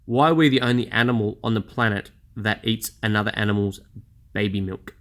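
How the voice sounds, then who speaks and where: A man's voice: on the low side, brisk, fairly bright tone. Blunt, chatty man in his thirties. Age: 30s. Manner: blunt, chatty, self-deprecating. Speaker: vlogger. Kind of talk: candid personal vlog monologue.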